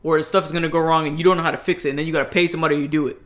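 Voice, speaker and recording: A man's voice: fairly high pitch, flat delivery, very fast, a bit bright. A man, giving blunt advice in a casual chat, emphatic and rambling with restarts. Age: 20s.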